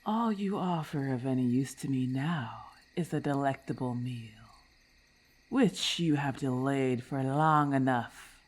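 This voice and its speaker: A woman voicing a haughty, menacing female lamia in an ASMR roleplay, with a husky, breathy voice and formal, condescending diction. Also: rumbling low, measured pace. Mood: hungry, threatening. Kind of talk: ASMR roleplay, breathy half-whispered acting.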